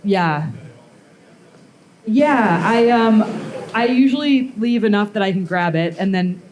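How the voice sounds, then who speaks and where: A woman's voice: mid-range voice, animated, darkish tone, slight background noise, rough recording. Woman casually talking through a workshop demo. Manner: informal, halting, thinking aloud. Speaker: metalworking artist giving a demonstration. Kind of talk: casual live workshop demo, explaining steps. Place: live blacksmithing demonstration before onlookers.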